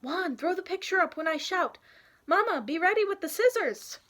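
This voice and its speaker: A woman's voice: very high voice, animated, moderate pace, a bit bright, voice pushed up. A woman voicing an eager, bossy young girl who calls out urgently in a storybook. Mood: excited shouting. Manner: urgent, calling out.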